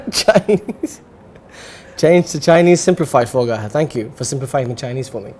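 A young man co-hosting a playful studio chat game, easygoing and quick to laugh, on a lapel mic. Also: mid-range voice, animated, a little mellow. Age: young adult. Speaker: co-host. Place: studio set with lounge chairs.

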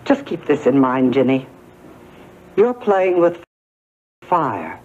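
A woman's voice: low voice, big pitch swings, slow, background noise, full character voice. An older actress playing a stern, disapproving woman in a soap opera, admonishing and insistent. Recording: muffled 1980s TV tape, music behind.

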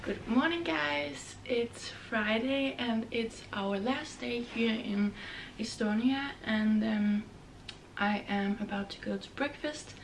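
A young woman vlogging to camera from a hotel room, chatting in a slow, relaxed way. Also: on the high side, gravelly, slight background noise. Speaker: travel vlogger. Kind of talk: casual vlog to camera. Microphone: camera held close or on a stand.

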